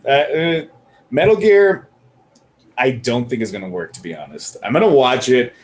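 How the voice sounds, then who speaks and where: A man's voice: mid-range voice, animated, normal speed. Joking man with faint room hum. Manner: joking, trails off and restarts. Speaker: podcast co-host. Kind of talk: casual chat.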